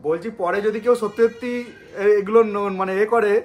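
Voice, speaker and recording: A man's voice: high-pitched, fairly quick. A young man, talking casually as a vlogger. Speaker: vlogger, prankster son-in-law. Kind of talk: casual vlog chat.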